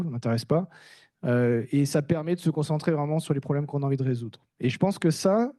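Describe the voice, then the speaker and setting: Soft-toned man, candid and halting. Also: fairly high pitch, no echo. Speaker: staff engineer speaking on a panel. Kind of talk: informal first-person conference talk.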